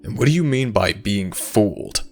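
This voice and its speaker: A man playing a stern, brooding jackal god of the underworld in a fantasy audio roleplay, with a very deep, gravelly voice and a grave, formal manner. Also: animated, normal speed, darkish tone, soft background music. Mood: indignant, stern.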